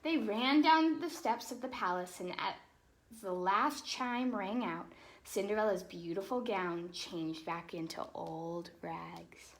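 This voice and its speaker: A woman reading a children's storybook in an expressive, animated way, with explanatory asides to young listeners. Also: medium pitch, slow, rough recording.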